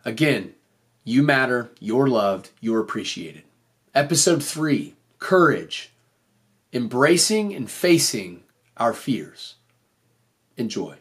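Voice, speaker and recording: A man's voice: mid-range voice, big pitch swings, slow pace, long pauses, a bit bright. A man hosting a motivational faith podcast in an earnest, encouraging way, his voice slightly off after recently losing it. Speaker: podcast host and teacher.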